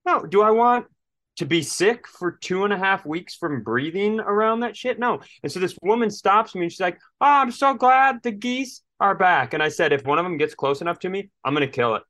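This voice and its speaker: Man, American, fairly high voice, dry close sound, exasperated comic storytelling, acted-out quotes. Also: animated, moderate pace, fairly bright tone, no room sound. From Minnesota, United States. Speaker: comedy podcast co-host. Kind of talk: casual comedic storytelling.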